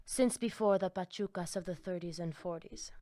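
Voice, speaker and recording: An actress playing a solemn, mystical vampire gang matriarch in a video game, speaking gravely and philosophically. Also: female voice, on the low side, normal speed, mellow, no room sound, studio quality, close to an everyday voice.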